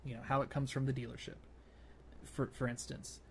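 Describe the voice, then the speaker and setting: A man's voice: mid-range voice, level tone, a little fast. A man co-hosting a casual gaming podcast, explaining his ideas by thinking aloud as he goes. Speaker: podcast co-host. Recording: clear background noise.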